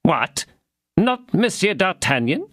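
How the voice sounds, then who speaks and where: A man's voice: high-pitched, sing-song, a bit bright, no echo, voice pushed up, heavily acted. Male narrator doing a officious interrogator, stern, pompous, dry close sound. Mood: confused. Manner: interrogating, officious, slow and emphatic.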